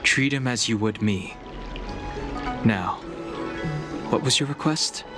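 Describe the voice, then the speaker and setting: An actor playing a calm, reserved young man who speaks tersely in video game dialogue. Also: medium pitch, takes it slowly, close to an everyday voice. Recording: game audio with music underneath. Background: background music.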